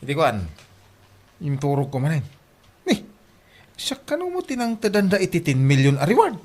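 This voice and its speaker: A man's voice: a little high, sing-song, normal speed, darkish tone. Man acting as a swooping, scheming Ilocano slacker. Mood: sheepish, excited. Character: lazy, scheming young man dreaming of easy money. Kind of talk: radio comedy drama acting.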